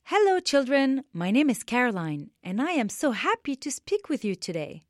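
Gentle woman reading to children. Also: sits high, very animated, soft background music. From Lebanon. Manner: gentle, slow and measured, speaking directly to children. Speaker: storyteller for children. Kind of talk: reading a children's story aloud.

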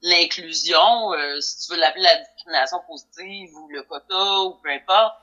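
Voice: mid-range voice, normal speed, pushed and bright. A band member talking over a video call, earnest and talkative, often repeating phrases. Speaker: band member, musician guest. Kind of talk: casual interview on a call. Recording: dull, lo-fi call audio.

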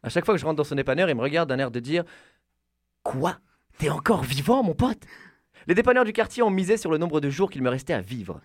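A man delivering a comic radio column in a punchy, deadpan way. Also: fairly high pitch, fast talker, dry recording, studio quality.

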